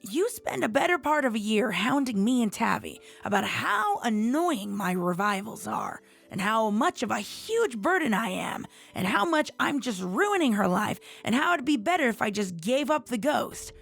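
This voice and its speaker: A woman playing a blunt, sardonic young dead pony with a gravelly edge in a fan audio drama. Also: fairly high pitch, sing-song, normal speed, hardly any pauses, a bit bright, soft background music, full character voice. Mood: annoyed. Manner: sarcastic, blunt.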